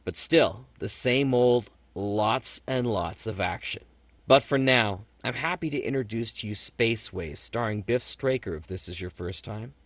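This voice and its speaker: A man's voice: lowish voice, a little slow, fairly bright tone, no echo. A man hosting a podcast, introducing the show in a personal, talky way. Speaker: podcast host.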